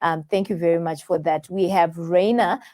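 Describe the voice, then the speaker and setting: A woman's voice: fairly low pitch, steady tone, moderate pace, darkish tone. A woman hosting a live call-in show, talking with many fillers and restarts as she takes viewers' calls. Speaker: host taking viewer calls.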